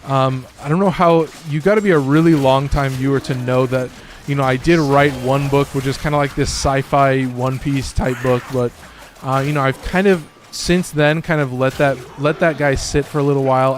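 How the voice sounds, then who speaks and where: A man with a slightly hoarse, raspy voice, giving loose and profane casual commentary while streaming a game. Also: medium pitch, steady tone, moderate pace, few pauses, slight background noise. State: a little hoarse. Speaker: gaming streamer.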